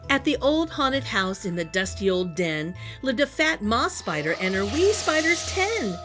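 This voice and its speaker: A woman reading a children's picture book aloud in an animated, sing-song storytelling manner. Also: a little high, unhurried. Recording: music playing behind the voice. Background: music.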